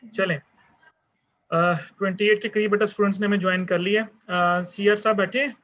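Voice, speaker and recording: A man lecturing online, calling the roll in a calm, fatherly way and addressing his students as "beta". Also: high voice, brisk. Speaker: university lecturer. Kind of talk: online class roll call. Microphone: online class call. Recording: dull lo-fi online call audio.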